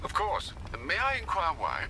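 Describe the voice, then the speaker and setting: An older butler in a game, formal and deferential. His voice is very bright and lively in pitch, with precise, courteous phrasing. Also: normal speed, a little hiss or hum, exaggerated voice. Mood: dutiful. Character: courteous, dutiful older English male butler.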